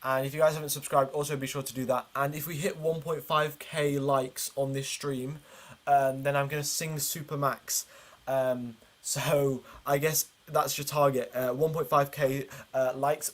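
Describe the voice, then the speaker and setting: A man hosting a livestream, giving informal, chatty commentary on a motor race and explaining it as he goes. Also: medium pitch.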